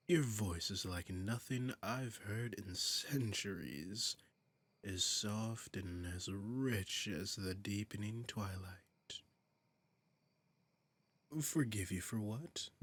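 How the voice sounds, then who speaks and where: A man playing a flirtatious, commanding demon prince in an intimate roleplay audio drama, silky, teasing and imperious, with a breathy voice that often drops toward a whisper. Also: on the low side, slow pace, a bit bright, heavily acted. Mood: amused, admiring.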